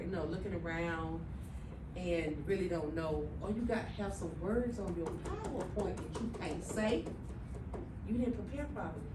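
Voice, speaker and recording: A woman's voice: mid-range voice, noisy background. A woman teaching a middle school class, emphatic and checking in with students often. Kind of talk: classroom instruction. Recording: echoey classroom, mic far off.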